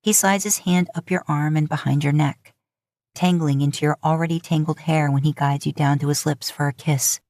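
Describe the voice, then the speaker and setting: A woman narrating a scripted romantic story as an expressive, intimate storyteller. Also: low voice, moderate pace, dry recording. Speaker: podfic narrator.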